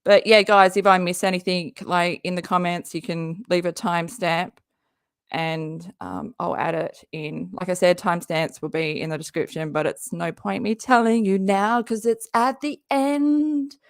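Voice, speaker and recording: Hoarse, gravelly woman hosting a live reaction stream, jokey and chatty, dry close sound. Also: fairly low pitch, moderate pace, no room sound. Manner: chatty, jokey, talks to her viewers. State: hoarse, often losing her voice. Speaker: YouTube live-stream host and comedian.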